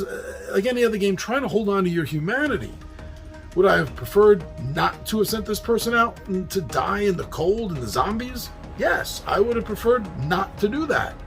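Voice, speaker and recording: A man with a New York accent hosting a casual monologue about board games, chatty and quick to laugh at his own slips. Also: on the high side. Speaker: host.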